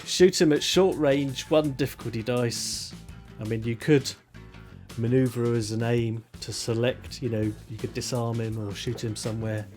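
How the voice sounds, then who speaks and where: A relaxed Yorkshire man runs a tabletop game in an easy, chatty voice, talking players through rules and outcomes. His pitch sits mid-range for a man. Faint music plays underneath. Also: normal speed. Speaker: game master.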